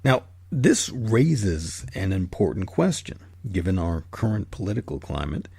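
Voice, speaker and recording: Gravelly, low-voiced male narrator. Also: sing-song, a little slow, darkish tone, dry recording. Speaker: host and narrator. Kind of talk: scripted documentary narration.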